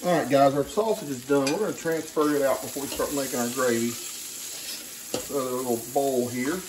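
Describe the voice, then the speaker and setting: A man's voice: mid-range voice, normal speed. A man hosting a casual home cooking video, chatting playfully and using pet names. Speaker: cooking show host. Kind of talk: casual chat while cooking. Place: indoor home kitchen. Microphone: camera a few feet away on counter. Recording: roomy kitchen, some background noise.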